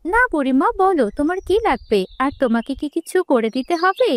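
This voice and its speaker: An eager, helpful village girl from a fairy-tale cartoon, acted by a woman. Her voice is very high and youthful, and she talks in a brisk, reassuring way. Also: animated, slight background noise.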